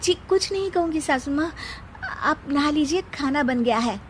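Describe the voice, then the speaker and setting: A woman's voice: sits high, animated, moderate pace, voice pushed up. A woman voicing a timid, apologetic young daughter-in-law who is eager to please, politely deferential, in a cartoon moral story. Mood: meek, obedient. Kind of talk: dramatized Hindi moral story dialogue.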